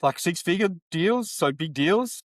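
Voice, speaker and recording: A man's voice: high-pitched, animated, unhurried, no room sound, studio quality. A man in his fifties from Australia with a broad Australian accent, talking as a guest in an upbeat, plainspoken way with local slang. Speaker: guest, sponsorship sales business owner. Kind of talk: casual interview talk.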